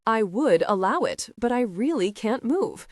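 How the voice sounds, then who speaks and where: A woman narrator voicing a gruff, blunt adult male slave in an audiobook, speaking in a measured, firm way. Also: a little high, animated, dry recording, studio quality.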